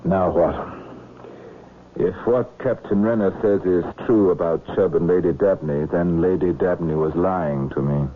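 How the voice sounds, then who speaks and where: An actor playing a composed murder investigator, asking measured, formal and insistent questions in a vintage radio drama. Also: male voice, low voice. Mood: reasoning. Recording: muffled, noisy old radio recording.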